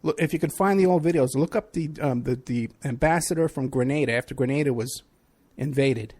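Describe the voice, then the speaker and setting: A man's voice: medium pitch, brisk, a little mellow. A man from New City, hosting a talk radio show in a rambling, sarcastic monologue. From New York City. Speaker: radio show host, private investigator.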